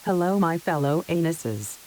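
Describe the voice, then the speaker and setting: A woman's voice: sits low, normal speed. A woman playing a solemn leader who gives a grave, declarative scripted address to a nation in crisis. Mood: grave resolve. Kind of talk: scripted mock emergency address. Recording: faint steady hiss.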